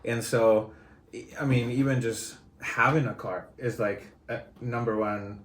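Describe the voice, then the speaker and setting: A man from Spain hosting a casual podcast conversation, chatty and quick to laugh. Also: mid-range voice, steady tone. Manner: chatty, laughs often, many restarts. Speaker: podcast host. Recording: echoey room with faint noise.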